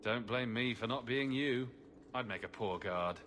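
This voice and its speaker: An actor playing a wisecracking, irreverent adventurer hero in a video game, with a flippant, teasing, sardonic manner. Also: male voice, mid-range voice, moderate pace, fairly bright tone, lightly acted. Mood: wry, flippant. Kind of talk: video game cutscene acting. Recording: game audio with background ambient noise.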